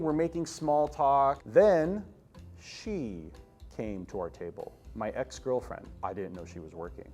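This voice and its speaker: A man's voice: medium pitch, warm tone. Theatrical male storyteller over music. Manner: theatrical, with dramatic emphasis and drawn-out words. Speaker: storyteller host. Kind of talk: comic first-person storytelling.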